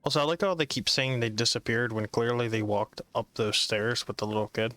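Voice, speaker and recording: A man's voice: mid-range voice, fairly even intonation, brisk, sharp tone, no room sound. A man giving casual, sarcastic commentary as he plays a game. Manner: sarcastic, wry. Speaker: gamer commenting on playthrough.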